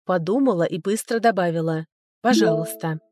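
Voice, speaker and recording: A woman's voice: mid-range voice, a little fast, darkish tone, no echo, clean studio sound. A woman reading a first-person story aloud as an audiobook narrator, in a scripted storytelling style.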